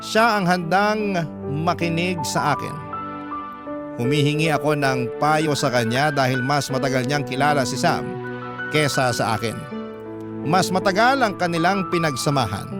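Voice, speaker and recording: Higher-pitched man narrating dramatically over music. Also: animated, moderate pace. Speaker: radio storyteller reading a letter in first person.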